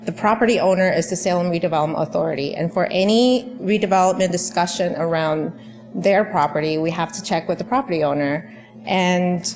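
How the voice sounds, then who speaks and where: A woman city councilor speaking in a council meeting in a measured, procedural way. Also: on the low side, a little mellow. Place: council chamber.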